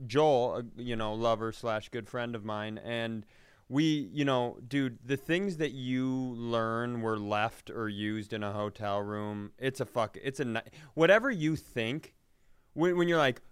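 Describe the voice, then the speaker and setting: A man's voice: mid-range voice, clear, resonant tone, no echo. A man co-hosting a comedy podcast, telling stories in casual, profane banter with mock quotes.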